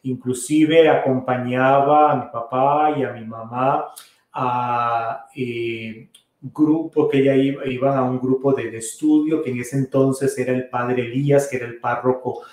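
A middle-aged man, a priest hosting a casual podcast, answering listener questions in a relaxed, rambling way with many fillers and restarts. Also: medium pitch, a little slow. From Costa Rica. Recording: very echoey room.

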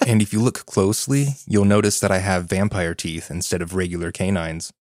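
A man co-hosting a podcast with casual talk and dry, deadpan jokes. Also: low-pitched, a little fast, no echo. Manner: dry joking, deadpan banter. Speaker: podcast co-host. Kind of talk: casual interview chat.

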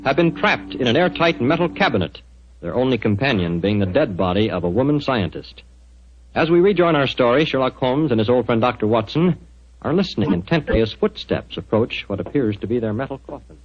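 Vintage male radio announcer, dull recording. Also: mid-range voice. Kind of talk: 1940s radio scripted narration.

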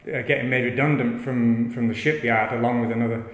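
A man with a northern English accent, a guest telling his life story in a halting, rambling way with many restarts. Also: medium pitch, steady tone. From north of England. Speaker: yoga studio owner, guest. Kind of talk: casual interview, rambling storytelling. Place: yoga studio. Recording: echoey studio room, long reverb.

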